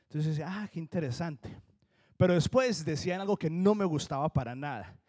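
A man from Colombia with a Colombian accent, preaching a sermon in an earnest, emphatic way and slowing down to stress key words. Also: fairly high pitch, animated, darkish tone. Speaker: pastor.

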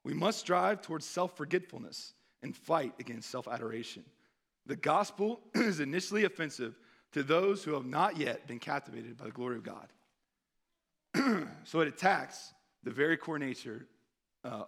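A hoarse man preaching a sermon in an earnest tone, pausing to read scripture aloud. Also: a little high, lively intonation, a bit bright. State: hoarse, clearing throat.

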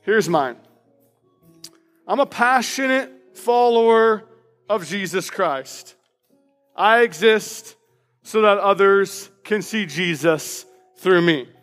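A man preaching as pastor in a church, with a loud, passionate voice, reading personal declarations aloud with emphasis. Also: high-pitched, lively intonation, takes it slowly, long pauses, long reverb tail, soft background music. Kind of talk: sermon. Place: church sanctuary.

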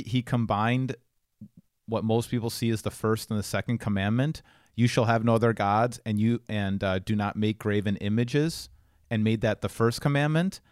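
A man's voice: medium pitch, normal speed, clean tone, no echo, clean studio sound. A man co-hosting a podcast, talking casually and explaining things as he goes. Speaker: podcast co-host.

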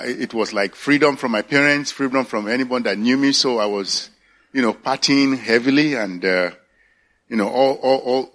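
A man in his sixties with a Nigerian accent, giving a halting personal faith testimony at a church service. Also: mid-range voice, a bit bright. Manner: halting, searching for words. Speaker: church elder candidate.

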